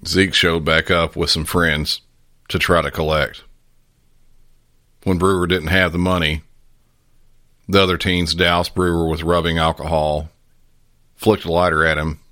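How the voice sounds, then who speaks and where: Very deep-voiced man narrating, flat delivery. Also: no room sound, clean studio sound. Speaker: podcast host. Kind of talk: true-crime podcast narration recapping an emergency call.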